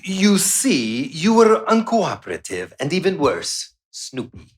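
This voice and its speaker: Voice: mid-range voice, wildly swooping pitch, takes it slowly. An actor playing a greedy, centuries-old vampire doctor in a live radio play, with theatrical, gloating menace. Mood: gloating, menacing.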